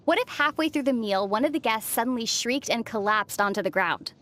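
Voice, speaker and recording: An actress playing a calm, sensible, gently teasing young traveler heroine in a video game. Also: female voice, fairly high pitch, brisk. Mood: skeptical. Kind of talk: scripted video game dialogue.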